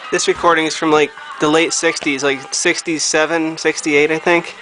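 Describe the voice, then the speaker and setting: A man's voice: fairly high pitch, little pitch movement, normal speed, music in the background, rough recording. A man talking informally and thinking aloud as a hobbyist doing a hands-on electronics repair, filming himself with a handheld camera. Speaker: hobbyist repairing vintage audio gear. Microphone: handheld camera he operates himself.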